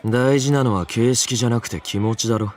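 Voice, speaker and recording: A man's voice: mid-range voice, fairly even intonation, racing, darkish tone, distant mic, soft background music, natural voice. An actor playing an aloof, dry, stubborn young man in a Japanese visual novel.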